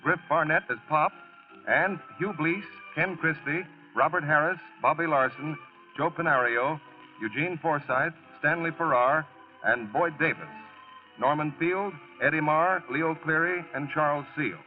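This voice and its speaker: Old timey radio announcer man, highish voice, music behind, tinny narrow broadcast. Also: unhurried. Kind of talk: 1940s radio announcing, scripted.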